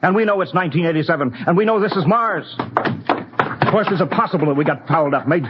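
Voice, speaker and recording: A man's voice: on the high side, expressive, fairly quick, close to an everyday voice. Man acting a commanding 1950s radio spaceship captain. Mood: bewildered. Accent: American. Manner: commanding, clipped, insistent. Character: stern, rattled male spaceship captain, stubbornly logical. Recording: muffled, noisy 1950s radio recording.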